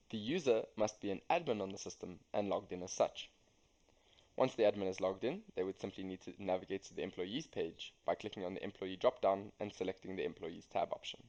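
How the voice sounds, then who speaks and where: A young man reading a scripted software tutorial as a student demonstrator. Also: fairly low pitch. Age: young adult.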